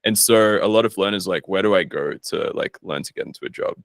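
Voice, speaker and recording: Man, gravelly low voice, informal guest chat, self-deprecating, dry studio sound. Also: fast, no echo. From Australia. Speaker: guest, startup founder and investor. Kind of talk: casual interview chat.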